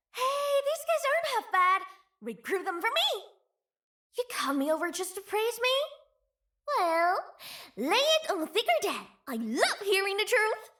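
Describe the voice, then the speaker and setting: A woman's voice: very high-pitched, pushed and bright, full character voice. A woman voicing a playful, greedy young female chief in a game, cheeky and teasing. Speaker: voice actor. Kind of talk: game character voice lines.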